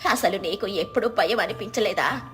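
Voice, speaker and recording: A woman's voice: mid-range voice, normal speed, a bit bright, faint music behind, natural voice. A woman voicing a timid, worried old village woman who sells vegetables and complains fretfully.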